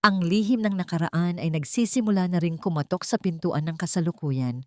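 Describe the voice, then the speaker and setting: A woman's voice: lowish voice, a little fast, no echo, clean studio sound. A woman narrator reading a scripted, dramatic audio story.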